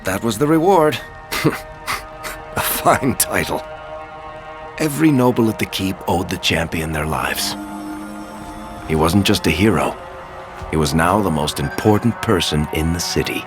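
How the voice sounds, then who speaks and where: An actor playing a roguish, wry dwarf storyteller, narrating a game's tale in a knowing, measured, dramatic way. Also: male voice, low-pitched, animated. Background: music.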